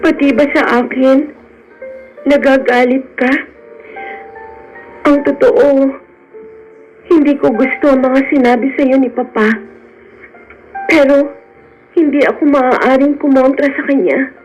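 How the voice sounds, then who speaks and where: A woman acting in a Filipino radio melodrama as a gentle, devoted young sweetheart, emotional and pleading. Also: high voice, steady tone, takes it slowly, long pauses, faint music behind. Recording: dull lo-fi radio sound.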